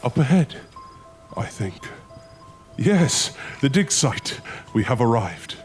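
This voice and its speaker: An actor playing a determined, adventurous scholar in a period horror audio drama, speaking in a formal, old-fashioned, earnest way. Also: male voice, medium pitch, very animated, takes it slowly, soft background music. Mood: eager. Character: determined, adventurous male scholar exploring ancient ruins.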